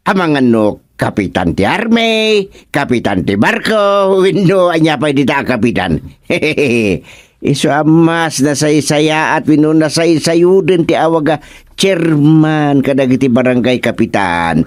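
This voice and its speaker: A man's voice: a little high, sing-song, a little slow, no room sound, studio quality. A man acting a talkative, fussy village official in an Ilocano radio comedy, with an Ilocano accent and a hesitant way of repeating his words. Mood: formal, jovial.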